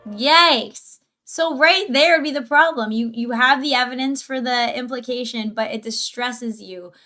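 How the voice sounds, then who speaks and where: A woman's voice: high-pitched, unhurried, pushed and bright, soft background music. A woman hosting a livestream, giving casual, opinionated reaction commentary in an emphatic way with rhetorical questions. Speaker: livestream host.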